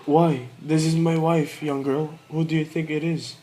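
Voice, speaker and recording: A man's voice: a little high, little pitch movement, unhurried, warm tone, a little hiss or hum, lightly acted. A man playing a forgetful, grumpy yet doting old grandfather in a radio play, muttering and repeating himself. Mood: puzzled.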